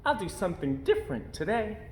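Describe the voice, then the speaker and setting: A man's voice: sits high, very animated, a little fast, a little hiss or hum, voice pushed up. A man voicing an eager, childlike young toy bear in a storybook reading. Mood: eager, determined. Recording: roomy, echoey indoor sound.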